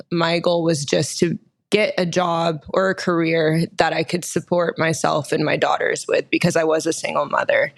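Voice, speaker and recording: A woman in her thirties with a slightly low voice. She talks as a guest in a casual interview, telling personal stories in a chatty way. She is from Texas and laughs easily. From Austin, Texas, United States. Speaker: podcast guest, nurse injector.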